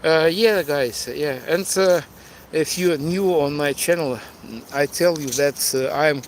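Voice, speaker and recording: A middle-aged man from eastern Ukraine, a native Russian speaker, talking off the cuff on a livestream in halting, hesitant English with a Ukrainian accent. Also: a little high, a little hiss or hum. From Donetsk, eastern Ukraine. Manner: halting, hesitant, searching for words. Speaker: live streamer and tour guide. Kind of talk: unscripted livestream monologue answering chat.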